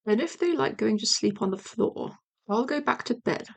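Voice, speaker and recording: A woman voicing a gentle, slow-witted male bear in a storybook, mild, puzzled and polite. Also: on the high side, animated, gravelly. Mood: practical, mild. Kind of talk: storybook character voice.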